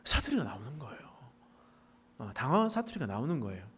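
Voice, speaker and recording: A man's voice: fast, a little hiss or hum. A middle-aged man from the Pohang area, a pastor preaching a sermon with traces of a Gyeongsang dialect, folksy and joking with the congregation. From South Korea, Pohang area. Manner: folksy, joking asides with congregation, animated pitch swings. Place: church sanctuary.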